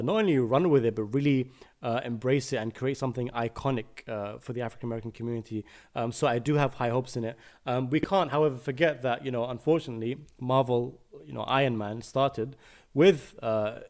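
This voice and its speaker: A man with a British accent hosting a podcast, asking questions thoughtfully with many pauses and fillers. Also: mid-range voice, darkish tone. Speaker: podcast host. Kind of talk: casual podcast interview.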